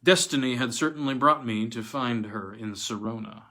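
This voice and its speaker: Male story reader, mid-range pitch, dramatic narration, vintage pulp horror. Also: normal speed, sharp tone.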